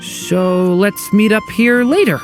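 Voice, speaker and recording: An actor voicing a calm, sensible young traveler in a video game, speaking in a measured, reassuring way. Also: medium pitch, faint music behind. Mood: calm, thoughtful.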